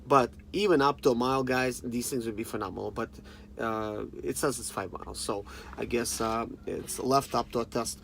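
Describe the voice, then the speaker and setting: Casual man reviewing over clearly noisy background. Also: medium pitch, steady tone, fairly bright tone. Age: 30s. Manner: informal, halting, often addressing viewers directly. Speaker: review channel host. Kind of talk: casual unscripted product review.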